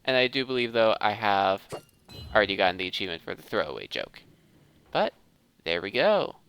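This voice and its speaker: A man's voice: lowish voice, very animated, brisk, a bit bright, smooth. A man hosting a gaming video, giving casual commentary and thinking aloud as he talks through each step. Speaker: host of a gaming video series.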